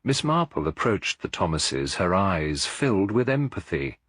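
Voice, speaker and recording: Deep-voiced, husky male story narrator. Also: sing-song, fairly bright tone, no room sound, clean studio sound. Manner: measured, literary storytelling delivery. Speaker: audiobook narrator. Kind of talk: scripted mystery story narration.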